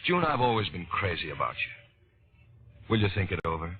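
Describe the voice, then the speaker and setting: Eager, animated, persuasive stage suitor. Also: male voice, low-pitched, normal speed. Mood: urgent, coaxing. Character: eager, persuasive male vaudeville partner wooing a married woman. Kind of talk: old-time radio drama acting. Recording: dull, noisy old radio broadcast.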